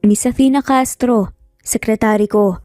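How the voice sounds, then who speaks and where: A woman's voice: a little high, steady tone, a little slow, dark tone, no room sound, studio quality, soft background music, close to an everyday voice. A woman voicing a proud, jealous male engineer in an audio romance, terse and grumpy, with a Filipino accent. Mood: curt.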